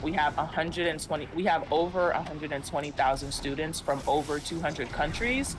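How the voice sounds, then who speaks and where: A man's voice: a little high, moderate pace, noisy background. A man hosting a live esports stream, reading and answering viewer comments with lots of ums, over background music. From United States. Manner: upbeat, thanks viewers by name, frequent ums. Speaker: university esports stream host. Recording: clear music behind voice.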